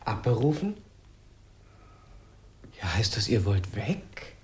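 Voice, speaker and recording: An actor playing a lonely, emotional man on a remote planet in a German radio play, speaking in a halting, earnest way. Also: fairly low pitch, sing-song, slight background noise. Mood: incredulous.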